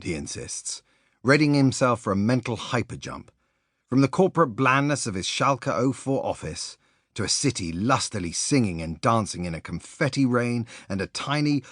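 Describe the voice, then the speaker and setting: A man reading a sports biography as an audiobook narrator, in an expressive, measured storytelling manner. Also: fairly low pitch, breathy edge, dry recording, studio quality.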